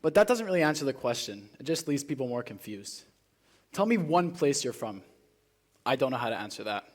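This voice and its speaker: A man's voice: mid-range voice, big pitch swings, brisk. Young male student giving a speech. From Spain, born in South Africa. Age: teenager, high school student. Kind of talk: rehearsed motivational speech to a live audience.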